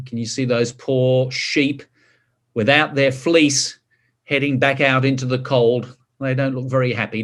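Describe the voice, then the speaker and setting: Expressive man reading slowly, very lively pitch. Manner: expressive, drawn-out words, chatty questions to listeners. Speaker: story reader. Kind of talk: animated picture-book reading with asides to children.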